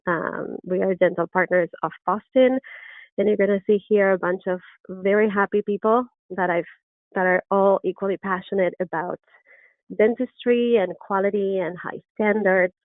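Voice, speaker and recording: A middle-aged woman with an Argentine Spanish accent presents an online lecture, earnest and enthusiastic, pausing on ums and restarting phrases. Her voice sits at an ordinary pitch. The sound is dry and narrow, like a phone line. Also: fairly even intonation, no echo. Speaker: orthodontist lecturer. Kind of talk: webinar presentation. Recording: dry, tinny phone-like webinar audio.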